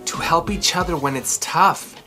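A man in his thirties reading a storybook aloud and reviewing it to camera in a theatrical, expressive way. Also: on the high side, normal speed, faint music behind. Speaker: children's book reviewer and host. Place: small furnished room at home, indoors.

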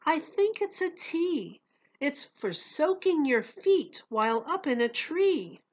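An imaginative storybook waitress, as a woman reader plays her, explaining in lilting rhyme. Her voice is high and unhurried, and the recording sounds a bit dull. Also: big pitch swings, exaggerated voice. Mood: earnest, explaining. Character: helpful, imaginative waitress explaining odd dishes.

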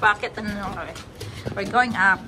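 A woman's voice: mid-range voice, a little fast. A young woman casually chatting and joking as she films a hike, mixing Tagalog and English. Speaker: vlogger filming a hike. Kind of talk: casual chat while walking. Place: coastal clifftop trail and sandstone tunnel outdoors. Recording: noisy outdoor phone recording.

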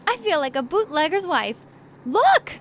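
An actress playing a tender, devoted young sweetheart in an old-fashioned radio drama. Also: female voice, very high voice, very animated, unhurried, slight background noise, exaggerated voice. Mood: happy.

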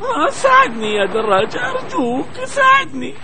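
A very high-pitched, whiny little cartoon snake, timid and pleading. The voice swings up and down in exclamations, over clearly noisy sound. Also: male voice, wildly swooping pitch, fairly quick, a loud crowd, full character voice. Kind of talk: children's cartoon dubbing in formal Arabic.

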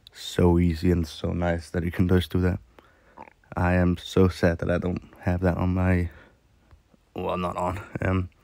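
A man with a sore, hoarse throat, rambling through casual commentary while playing a mobile game, with lots of fillers and restarts. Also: deep voice, a little mellow, dry recording.

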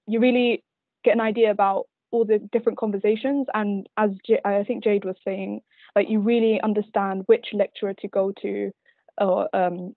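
A young woman student speaks over a video call in a flat, even tone, hesitating often. Her audio is dull and dry, like a cheap webcam mic. She pauses with "um" between thoughts. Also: mid-range voice, no room sound. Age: young adult. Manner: hesitant, many "um" and "you know". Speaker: history student panelist. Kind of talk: casual chat on video call. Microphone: laptop webcam on video call.

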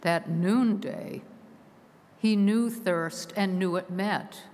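A woman delivers a sermon at a very slow, deliberate pace, letting her phrases fall away at the ends. Her voice sits mid-range. It rings out through a large stone church with a long, lingering reverb. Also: medium pitch. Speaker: preacher.

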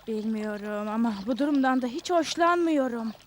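Actress as high, lively, impatient cartoon village woman. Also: moderate pace, slight background noise, close to an everyday voice. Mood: impatient, concerned. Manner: pressing, questioning. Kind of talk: dubbed cartoon acting.

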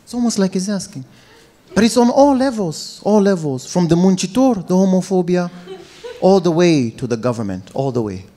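A young man performing a stand-up set on a club stage into a handheld mic, animated and switching between voices. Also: high-pitched, moderate pace. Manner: animated, slips into Romanian voices. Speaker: stand-up comedian. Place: comedy club stage with live audience.

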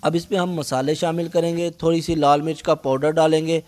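Higher-pitched Pakistani man narrating a recipe. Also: little pitch movement, fairly quick, a little mellow. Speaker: cooking channel host.

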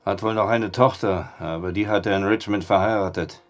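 An actor playing a reluctant, money-minded small-town storyteller in a radio drama, with a folksy manner. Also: male voice, fairly low pitch, fairly even intonation, a little fast, soft background music, natural voice. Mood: storytelling.

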